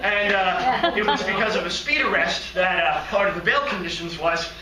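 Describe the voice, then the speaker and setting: A man playing an odd, naively earnest hotel receptionist who rambles and daydreams in a halting, excitable comic stage monologue. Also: sits high, crowd noise, natural voice. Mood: rambling, earnest. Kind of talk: theatrical comic monologue performance. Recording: roomy, distant mic, faint noise.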